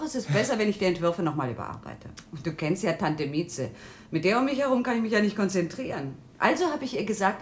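An actress playing a breezy, teasing girlfriend in a radio drama, chatty and expressive. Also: mid-range voice, a little hiss or hum, close to an everyday voice. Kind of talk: German radio drama acting.

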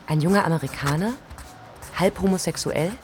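A woman's voice: fairly low pitch, fairly quick, slight background noise, lightly acted. An actress playing a young woman novelist in her thirties, reading from her notebook in a radio play. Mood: absorbed. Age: 30s.

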